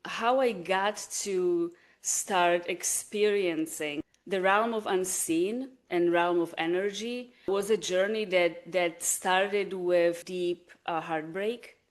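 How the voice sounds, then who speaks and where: A woman from the Republic, speaking earnestly in a reflective interview with a few small restarts. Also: on the low side. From Czech Republic. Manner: earnest, measured, with small restarts and repeated words. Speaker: guest, energy healer.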